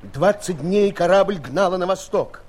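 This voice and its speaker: An actor telling a sea adventure tale as the theatrical narrator of an audio play. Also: male voice, sits high, a little slow, a little mellow. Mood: dramatic storytelling. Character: storyteller of a sea tale, doubling as ship's captain. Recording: vintage mono record, music behind.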